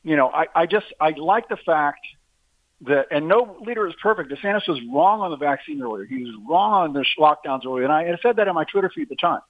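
A man's voice: fairly high pitch, lively intonation, fast, creaky, no echo. A man on a phone line, a guest arguing politics in a casual talk-show chat and leaning on sports comparisons. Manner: talkative, uses sports analogies.

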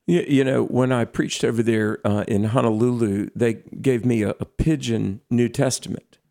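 A man with an overused, hoarse voice and a gravelly edge conducts a ministry interview. He asks his questions with eager interest, at an ordinary middle pitch. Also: fairly even intonation, normal speed, mellow.

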